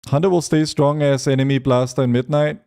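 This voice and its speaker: A man from Europe streaming a game, reading chat aloud and answering it between quick asides about his play. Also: mid-range voice, fairly even intonation, vocal fry, dark tone, clear, resonant tone. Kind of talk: casual gaming stream chat.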